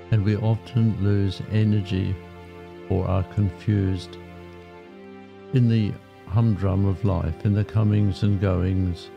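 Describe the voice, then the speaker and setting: A low, gravelly male voice guides a meditation at a slow, calm pace. He speaks in short phrases and leaves long, restful gaps. The recording is a little dull and lo-fi, with music underneath. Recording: muffled, dull lo-fi sound. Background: background music.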